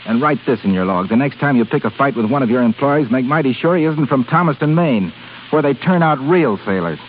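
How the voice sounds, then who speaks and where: A man's voice: mid-range voice, expressive, a little fast, natural voice. A man playing a hot-tempered, blunt young ship's officer in a 1930s radio drama. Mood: defiant, angry. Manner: blunt, defiant, clipped. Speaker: actor in a radio play. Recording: hissy, tinny 1930s radio recording.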